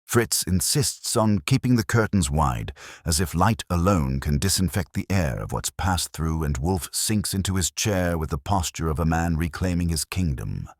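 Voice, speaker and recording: A man's voice: low voice, animated, normal speed, dry recording, studio quality. A man reading a scripted first-person detective story as its narrator.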